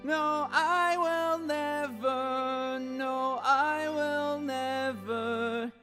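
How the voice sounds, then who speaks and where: Woman as a young man singing very slowly. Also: high voice, fairly even intonation, fairly bright tone, soft background music, full character voice. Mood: tender. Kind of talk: slow sung lullaby.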